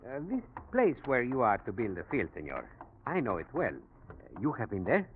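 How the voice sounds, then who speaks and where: An obliging, talkative South American hotel man with a Spanish accent. His courteous, probing speech swings widely in pitch and falters politely. It sounds like a forties radio play on a thin, noisy old recording. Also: wildly swooping pitch, normal speed, phone-quality sound. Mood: eager, chatty. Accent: Latin American Spanish. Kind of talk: 1940s radio drama acting.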